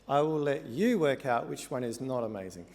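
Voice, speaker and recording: A man's voice: medium pitch, expressive, normal speed, darkish tone. A middle-aged man hosting a conference, introducing a session in a jokey, informal way from a podium mic in a large hall. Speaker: conference host. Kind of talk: stage introduction. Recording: reverberant hall.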